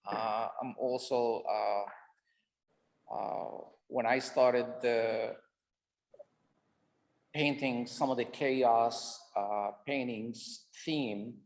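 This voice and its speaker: Slow, measured man hosting an art panel. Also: medium pitch, steady tone. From Syria. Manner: measured, hesitant, with filler sounds. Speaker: artist and panel moderator.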